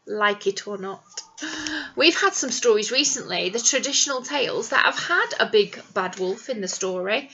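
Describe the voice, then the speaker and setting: A woman teacher talks to small children as she shares a picture book. Her voice is bright and rather high, swinging widely in pitch. She explains things and keeps checking in with questions. The sound is slightly dull. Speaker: primary school teacher. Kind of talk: reading a picture book aloud to young children. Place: small indoor classroom. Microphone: webcam or device at arm's length.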